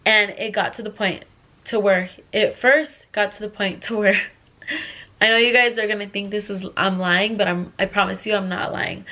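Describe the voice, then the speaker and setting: A woman's voice: mid-range voice, steady tone, moderate pace, sharp tone. Congested young woman rambling through a story. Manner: rambling, filler-heavy, restless. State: congested from a cold. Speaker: vlogger. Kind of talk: casual storytime.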